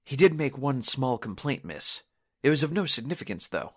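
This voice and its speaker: Nervy polite restaurant worker, hesitant, pitch all over, old radio drama. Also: male voice, sing-song, normal speed, breathy edge. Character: hesitant, deferential male restaurant employee. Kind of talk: 1940s radio drama acting.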